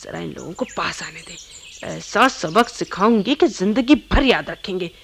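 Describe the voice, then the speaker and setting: An actress in a Hindi radio play, playing a young wife who is dramatic, easily flustered and bossy. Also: female voice, on the low side, expressive, a little slow, vocal fry, a little hiss or hum. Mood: scheming. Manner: dramatic, exclaiming, stammering when flustered.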